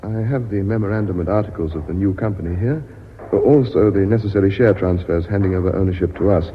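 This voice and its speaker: A man acting a dry, punctilious company secretary in a radio play. His low voice is precise and measured. The recording is dull, with faint noise behind it. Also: natural voice. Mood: formal. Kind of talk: British radio drama acting.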